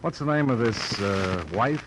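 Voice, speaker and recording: An American actor playing a skeptical, terse insurance investigator in an old-time radio detective drama, on a noisy vintage recording. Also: male voice, mid-range voice, animated, a little slow, lightly acted. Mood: dry, blunt. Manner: terse, dry, blunt questioning. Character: hard-boiled, skeptical male insurance investigator. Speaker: private insurance investigator, lead character. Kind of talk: 1940s-50s American radio detective acting.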